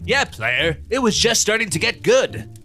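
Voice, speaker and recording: A man voicing an excitable, snarky know-it-all cartoon crewmate who speaks in a mocking, emphatic way. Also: high voice, measured pace, faint music behind, full character voice. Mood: eager. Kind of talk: cartoon voice acting.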